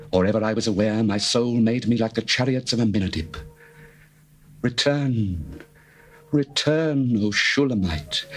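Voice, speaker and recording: A solemn, adoring lover from an ancient love poem, as a man reads him, speaking his praise slowly and with reverence. The voice sits a little low and is steady. Faint music plays underneath. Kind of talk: dramatized scripture reading.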